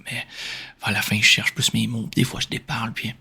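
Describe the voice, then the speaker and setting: A man from Quebec with a Québécois French accent, speaking in a soft, breathy whisper as he informally answers questions in an ASMR style. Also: on the low side, little pitch movement, a little fast. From Quebec, Canada. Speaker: ASMR video creator answering viewer questions.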